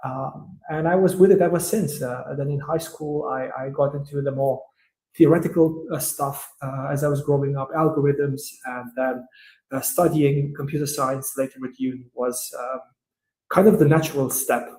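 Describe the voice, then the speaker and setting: A man from Romania, an entrepreneur guest in an interview, who answers in a thoughtful, hesitant way with many "uh" pauses. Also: medium pitch, steady tone, warm tone, reverberant, poor audio.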